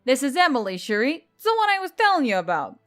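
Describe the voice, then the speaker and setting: A woman voicing a brisk, confident woman with an emphatic, dismissive manner. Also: high-pitched, big pitch swings, fairly bright tone, faint music behind, voice pushed up. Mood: assured, dismissive.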